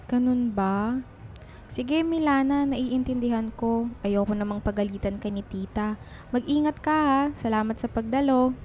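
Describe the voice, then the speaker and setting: A woman's voice: on the high side, normal speed, darkish tone, slight background noise, lightly acted. A woman playing a cheerful, caring and teasing young best friend in a radio drama. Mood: understanding.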